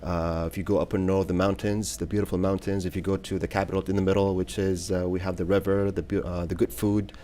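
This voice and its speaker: A man in his thirties from Iraq speaks as a guest in a casual interview. His voice sits very low and has a dark, mellow tone. He talks haltingly, with frequent fillers and restarts. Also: little pitch movement, a little hiss or hum. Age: 30s. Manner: hesitant, many fillers and restarts.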